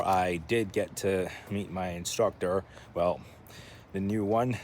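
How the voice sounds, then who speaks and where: A man with a fairly low voice records a casual vlog. He rambles along in a joking way, often talking to himself. There is some light ambient noise, like traffic, behind him. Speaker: vlogger.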